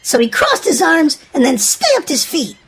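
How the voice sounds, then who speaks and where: A woman's voice: high voice, wildly swooping pitch, a little slow, a loud crowd. A woman narrating a children's storybook in a dramatic, emphatic scripted read-aloud style for young listeners.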